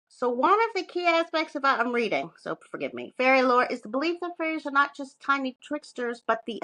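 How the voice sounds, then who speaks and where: A woman's voice: a little high, pushed and bright, no echo, rough recording. A woman co-hosting a podcast, partly reading from notes and chatting casually, with sweary, self-mocking asides. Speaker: podcast co-host presenting research.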